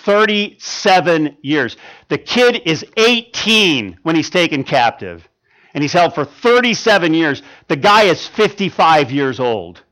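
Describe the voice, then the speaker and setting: A man in his fifties preaching a sermon, swinging from loud emphatic stretches to quiet ones, with frequent stammered restarts. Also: a little high, sing-song, measured pace, poor audio. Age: 50s. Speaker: pastor.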